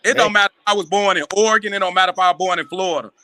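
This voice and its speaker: A man's voice: high voice, steady tone, very fast, bright, forceful tone. A man co-hosting a sports talk show, speaking earnestly about growing up in the country and stumbling over his opening words. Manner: earnest, repeats words while starting sentences. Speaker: podcast co-host. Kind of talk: casual debate.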